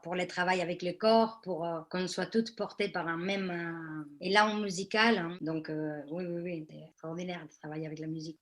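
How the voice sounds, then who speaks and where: A woman who leads theatre workshops, speaking on a discussion panel and telling her stories in an animated way. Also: fairly low pitch, moderate pace, hardly any pauses. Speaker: theatre workshop leader for women.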